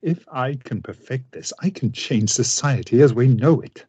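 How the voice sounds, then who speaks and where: A man acts out a driven scientist in a radio drama. His mid-range voice is rough and husky, with intense, grandiose, formal delivery. It is recorded very dry. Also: mid-range voice, normal speed, dark tone, no echo, natural voice. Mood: fervent, persuasive. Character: obsessive, idealistic male scientist with a vengeful streak. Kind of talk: radio play acting.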